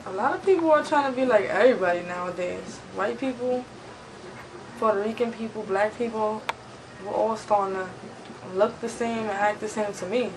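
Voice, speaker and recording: A woman talks casually and emphatically in an interview, with colloquial phrasing. Her medium-pitched voice sits away from the mic in a strongly echoing space, with faint noise behind it. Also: moderate pace, recorded from a distance.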